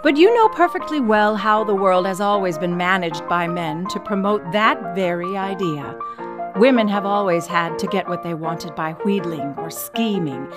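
A woman's voice: medium pitch, animated, moderate pace, music in the background, natural voice. A woman acts out an independent, middle-aged firebrand of the 1920s. She delivers her letter as a dramatic reading, emphatic and indignant, arguing each point in a mid-range voice. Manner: emphatic, argumentative.

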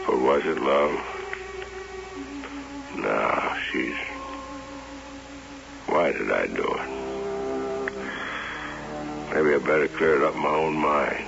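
An American actor playing a troubled, short-tempered carnival showman in an old-time radio drama, halting and theatrical as he speaks. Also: a bass voice, creaky, exaggerated voice. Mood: brooding, confessional. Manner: halting, self-questioning, theatrical. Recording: muffled vintage radio recording with music.

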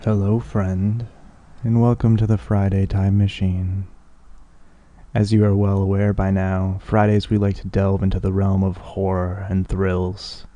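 A man hosting an old-time horror radio show, speaking in an ominous, theatrical way straight to the listener. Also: low voice. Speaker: podcast host. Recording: music behind the voice. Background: loud music.

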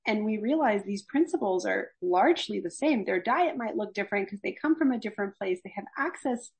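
Husky, gravelly young woman explaining earnestly. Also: medium pitch, expressive, brisk. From Los Angeles, USA. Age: young adult. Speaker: gerontology faculty guest. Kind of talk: conversational interview.

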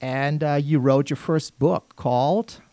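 A man's voice: fairly high pitch, fairly even intonation, unhurried, mellow, no echo. A man with a German accent hosting a live business radio talk show, upbeat and chatty, laughing often. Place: radio studio.

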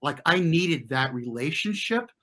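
A middle-aged man with an American accent, telling personal stories as an interview guest in an informal, halting way, often circling back to rephrase. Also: fairly high pitch, expressive, a bit bright. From US, New York and New Jersey. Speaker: interview guest, former competitive swimmer. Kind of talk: reflective conversational storytelling.

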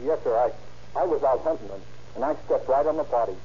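Actor as a fast-talking, stammering young ranch hand. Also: male voice, mid-range voice, animated, warm tone, close to an everyday voice. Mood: nervous, eager. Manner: stammering, rustic, halting phrasing. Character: folksy, eager young male ranch hired man. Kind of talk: 1930s-40s radio drama acting. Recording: muffled, noisy old-time radio recording.

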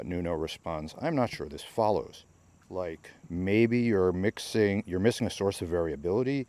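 A man reading a research article aloud in a measured, explanatory way, with informal asides and the odd self-correction. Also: low-pitched, a little mellow. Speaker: podcast reader and commentator.

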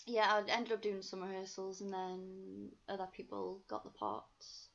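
A young woman with a soft Geordie accent mixed with posh English, chatting candidly and informally as a podcast guest, on slightly dull audio. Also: mid-range voice, measured pace, gravelly. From North East England. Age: young adult. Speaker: podcast guest, ballet dancer. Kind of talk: casual chat.

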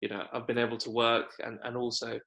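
A man in a reflective conversation, speaking in a measured, hesitant way with repeated words. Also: mid-range voice, completely flat delivery, sharp tone.

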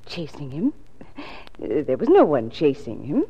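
Chatty American landlady, fussy and hesitant, swooping low-set voice, vintage radio play. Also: huge pitch swings, measured pace, dark tone, husky, exaggerated voice. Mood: flustered. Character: chatty, flustered boarding house landlady, sympathetic, mature. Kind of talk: 1940s radio acting. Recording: muffled 1940s radio recording.

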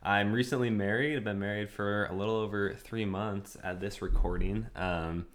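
Male, twenties, American, deep register, relaxed-format podcast guest in casual chat. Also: moderate pace. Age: 20s. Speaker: podcast guest, university student.

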